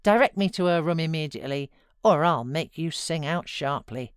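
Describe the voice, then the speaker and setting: A woman's voice: deep voice, expressive, moderate pace, smooth, dry recording, studio quality. A woman voicing a stern, loyal housekeeper in a novel, forthright and reproachful. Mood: indignant, threatening.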